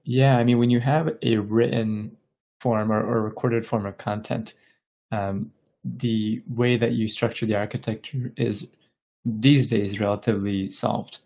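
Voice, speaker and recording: A man's voice: on the low side, fairly even intonation, a little slow, gravelly, a little mellow. A man from Bangladesh speaking as an interview guest, explaining things casually and thoughtfully, often searching for his words. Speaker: tech company founder interviewed as podcast guest.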